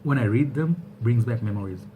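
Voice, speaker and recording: Animated man vlogging from home, background noise. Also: mid-range voice, fairly quick. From Bangladesh. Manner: animated, gesturing hands, rambling with fillers and restarts. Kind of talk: casual opinion monologue to camera. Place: small indoor room at home.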